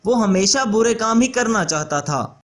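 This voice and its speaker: A man's voice: high-pitched, lively intonation, unhurried, a bit bright. A man reading scripted narration for a children's story as the storyteller.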